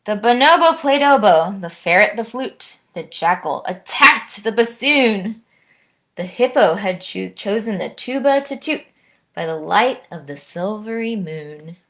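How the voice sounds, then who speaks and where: An American woman reading aloud and chatting to young children in an expressive storytime manner. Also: medium pitch, measured pace. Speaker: orchestral musician, guest storytime reader.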